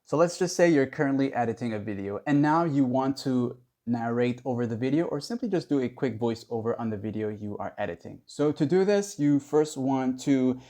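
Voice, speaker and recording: A man's voice: mid-range voice, dark tone. A man narrating a casual how-to tutorial in a conversational, instructional way. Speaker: tutorial host.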